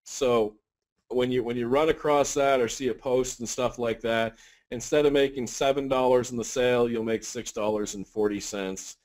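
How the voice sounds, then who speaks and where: A man's voice: mid-range voice, steady tone, moderate pace. Casual reassuring man talking math aloud. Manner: casual, talks math out loud, frequent fillers and restarts, reassuring. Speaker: company leader on webinar. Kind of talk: business webinar update.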